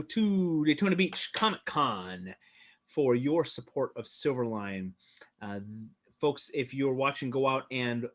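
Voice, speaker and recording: A man's voice: medium pitch, a bit bright. A man hosting a casual livestream chat from a video call. He talks in an upbeat way, laughs often and drifts into fillers. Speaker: host.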